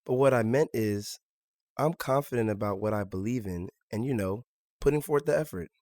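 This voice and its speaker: A man's voice: medium pitch, normal speed, a little mellow, dry recording, clean studio sound, lightly acted. A man playing an earnest, stubborn seventeen-year-old son in a naturalistic audio drama, polite but insistent. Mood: earnest, confiding.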